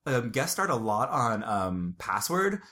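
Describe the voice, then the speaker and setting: A man of middle pitch co-hosting a casual podcast chat. He rambles, circling his points with fillers and restarts. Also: big pitch swings, a little slow, bright, forceful tone. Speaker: podcast host.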